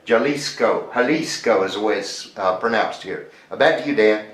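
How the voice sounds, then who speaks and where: Relaxed man on a ham radio call. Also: lowish voice, moderate pace, echoey room. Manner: relaxed, spells words in the phonetic alphabet. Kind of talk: radio contact chat. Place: home radio station in Mexico.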